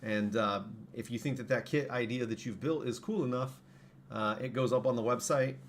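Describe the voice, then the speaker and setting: Hoarse man rambling on a stream. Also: mid-range voice, normal speed, a little hiss or hum. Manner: rambling, self-correcting. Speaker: streamer and saber parts maker. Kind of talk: casual live stream talk.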